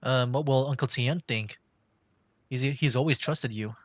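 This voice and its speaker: A man's voice: medium pitch, unhurried, no echo. A man casually reacting aloud as he streams a video game. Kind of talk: casual live game commentary.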